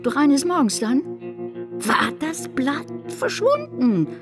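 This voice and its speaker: Radio drama role: bitter, bossy middle-aged mother, high voice, swooping delivery, coarse and scolding. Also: wildly swooping pitch, slow, faint music behind, exaggerated voice. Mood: firm, indignant. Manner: scolding, blunt, coarse, theatrical. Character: sharp-tongued, bitter, domineering mother of a grown daughter.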